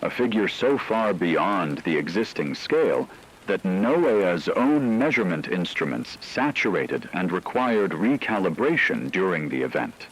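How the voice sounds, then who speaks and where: A man's voice: deep voice, sing-song, measured pace, breathy edge, heavily acted, low-quality recording. A man playing a grave technical correspondent on an emergency radio broadcast, precise and formal in a scripted news report. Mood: grave, measured.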